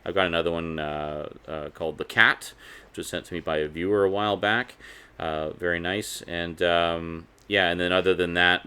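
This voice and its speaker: Male collector-vlog host, lowish voice, casual and chatty, unscripted delivery with informal asides. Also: a bit bright, smooth. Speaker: video host reviewing a DVD collection.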